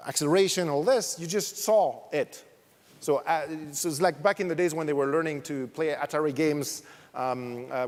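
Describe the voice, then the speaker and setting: A man from France with a French accent, giving a technical conference talk with frequent fillers and restarts. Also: a little high. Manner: explanatory, many fillers and restarts. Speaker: conference speaker. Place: large conference hall. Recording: long reverb of a large hall.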